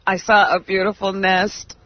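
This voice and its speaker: A woman's voice: mid-range voice, level tone, moderate pace. A woman trading teasing banter as a radio co-host. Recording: muffled, noisy radio broadcast.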